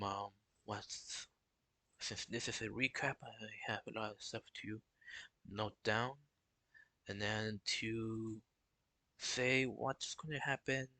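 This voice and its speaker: A young man talking casually on a live stream, in a flat, halting way with many restarts. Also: lowish voice, dry recording. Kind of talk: casual solo stream talk.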